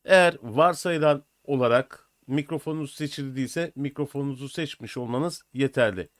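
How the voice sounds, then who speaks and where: An older man presenting a software tutorial in an informal, step-by-step way. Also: mid-range voice, brisk, dry recording, clean studio sound. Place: small indoor room at a desk. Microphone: USB computer microphone.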